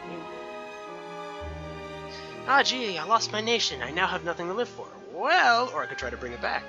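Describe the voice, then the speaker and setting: A man's voice: high-pitched, big pitch swings, moderate pace, highs cut off. A man hosting a let's play, reading game dialogue and joking along, often stumbling and restarting. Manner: stumbles over read lines and restarts. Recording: game music behind voice. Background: video game music.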